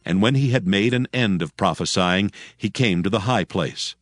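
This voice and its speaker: A man narrating dramatized scripture as a solemn, measured storyteller. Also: lowish voice, soft background music.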